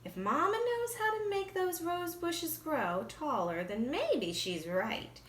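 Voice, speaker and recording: Woman reading as an eager, hopeful storybook boy, bright-voiced. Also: high-pitched, big pitch swings, slow, a little hiss or hum, voice pushed up, heavily acted. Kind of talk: storybook read-aloud dialogue.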